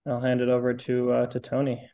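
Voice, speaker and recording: A young man from Connecticut speaks as a podcast guest in a mid-pitched, flat voice. He is hesitant and casual, with many uh fillers. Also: a little fast. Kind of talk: casual interview chat.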